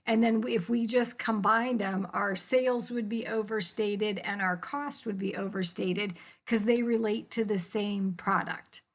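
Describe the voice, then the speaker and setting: Woman, American accent, creaky voice, mid-range pitch, instructor talking through slides, colloquial and explanatory. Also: steady tone, unhurried, hardly any pauses. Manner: colloquial, explanatory, with casual contractions and check-ins. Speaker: accounting instructor. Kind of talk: informal lecture explaining slides.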